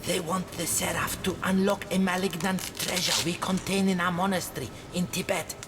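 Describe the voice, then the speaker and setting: Man as dying Tibetan monk, high voice, embittered, theatrical, halting broken English, video game cutscene, clear background rumble. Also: steady tone, natural voice. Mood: grave, embittered.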